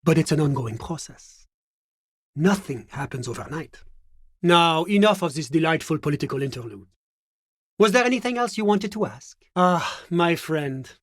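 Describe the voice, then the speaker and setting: An actor playing a smug, genial bureaucrat in a video game, speaking with a French accent in a suave, measured, politely condescending manner. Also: male voice, on the high side, lively intonation, dry recording, clean studio sound. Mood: genial, coaxing, then suave, complacent. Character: smug, genial male bureaucrat, unflappable moralist technocrat. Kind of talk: video game character dialogue.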